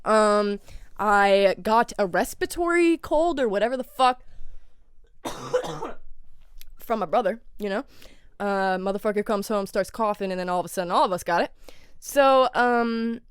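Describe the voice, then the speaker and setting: A woman's voice: mid-range voice, unhurried, pauses often, smooth, no echo, studio quality. A young woman hosting her own podcast, congested from a cold, talking in a rambling, candid way with lots of swearing and filler words. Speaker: podcast host. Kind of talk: casual solo podcast monologue.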